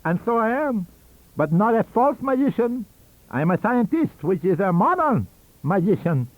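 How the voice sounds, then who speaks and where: A man voicing a cunning, wheezy old foreign scientist-magician in an audiobook, with a theatrical, halting and emphatic delivery. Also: high voice, very animated, no room sound, voice pushed up. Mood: wheedling, boastful. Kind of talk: audiobook character reading. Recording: dull lo-fi audio cassette.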